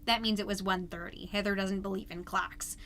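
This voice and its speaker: A woman's voice: mid-range voice, fairly even intonation, brisk, pushed and bright, slight background noise, close to an everyday voice. A woman playing a chatty, primly insistent suburban mom hosting a neighborhood newscast in a scripted comedy podcast. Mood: chatty, wry. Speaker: podcast host.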